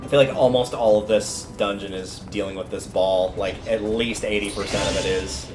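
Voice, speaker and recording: A man with a fairly low voice gives casual gameplay commentary on a livestream. He talks colloquially, thinking out loud as he plays, and game music runs clearly behind him. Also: fairly even intonation, normal speed, poor audio. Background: video game music.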